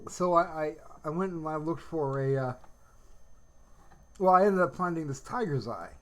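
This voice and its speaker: A man's voice: fairly high pitch, lively intonation, normal speed, slight background noise. A man chatting casually on a livestream, rambling unhurriedly and musing aloud to himself. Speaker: live streamer. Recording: loud music over the voice. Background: loud music.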